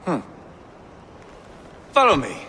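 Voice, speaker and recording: Swaggering, animated Viking brute. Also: male voice, fairly high pitch, takes it slowly, slight background noise, full character voice. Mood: cocky mockery. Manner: crude, mocking, swaggering. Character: brash, foul-mouthed Danish Viking warrior, war-hungry.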